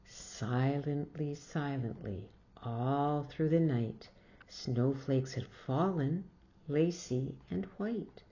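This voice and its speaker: Female storybook reader, unhurried and expressive, slightly low-pitched, pausing between short phrases. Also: highs cut off. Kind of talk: picture-book read-aloud with asides to young listeners.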